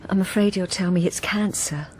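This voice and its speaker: An actress playing a troubled middle-aged British wife who speaks hesitantly and confidingly in a radio drama. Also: female voice, medium pitch, moderate pace, a little mellow, slight background noise, natural voice, poor audio. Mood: anxious. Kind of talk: intimate first-person radio drama narration and dialogue.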